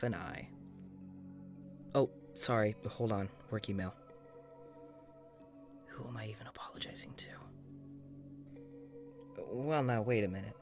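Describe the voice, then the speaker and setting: A man playing a weary, rambling doctor in an audio-drama journal, musing aloud in a hesitant, self-conscious way. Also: fairly low pitch. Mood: fond, casual, then annoyed, tired. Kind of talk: intimate audio-journal monologue in a fiction podcast.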